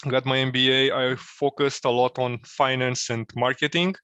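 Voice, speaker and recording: A man from Romania, telling his career story as an interview guest, thoughtful and pausing often with fillers. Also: mid-range voice, flat delivery, pushed and bright, clean tone, no room sound. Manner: thoughtful, many filler pauses.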